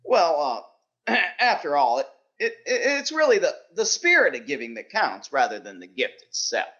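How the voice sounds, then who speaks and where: Man playing an excitable, swooping, naive bashful teacher. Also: on the high side, moderate pace, lo-fi sound, exaggerated voice. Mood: flustered, earnest. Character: naive, earnest, bashful male biology teacher. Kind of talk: 1940s radio sitcom acting.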